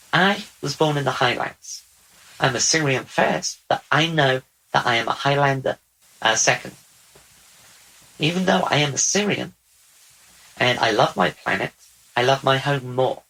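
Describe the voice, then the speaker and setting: A man speaking as a channeled otherworldly male being, slow, deliberate and repetitive. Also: mid-range voice, animated, bright, forceful tone, poor audio. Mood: earnest. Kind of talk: channeled spiritual talk.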